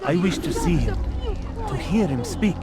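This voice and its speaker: A man's voice: high-pitched, huge pitch swings, normal speed, heavy background noise. A man playing an earnest, deferential would-be convert in a video game, with music behind him. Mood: earnest pleading. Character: earnest male would-be convert to a secret sect. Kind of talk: scripted video game acting. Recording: game audio under loud music.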